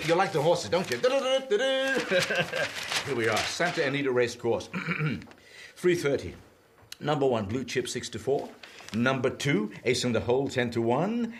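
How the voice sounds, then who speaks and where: An actor playing an elderly comedian, anxious and grieving at his friend's deathbed, halting and pleading in a naturalistic drama. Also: mid-range voice, background noise. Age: older. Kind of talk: radio-play style naturalistic drama.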